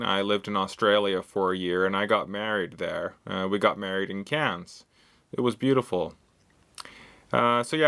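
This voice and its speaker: Bright, clear-voiced Canadian man in his thirties. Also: fairly low pitch. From Canada, West Coast. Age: 30s. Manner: simple sentences, frequent "uh" fillers, questions to the viewer. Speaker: English teacher. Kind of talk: slow, clear lesson monologue for learners.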